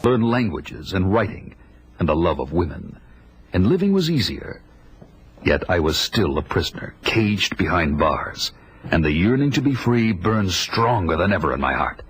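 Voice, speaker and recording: An actor voicing a grim young barbarian warrior who narrates in a measured, dramatic way for a 1980s audio drama. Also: male voice, sits low, very animated, slight background noise, low-quality recording. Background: loud score under the voice.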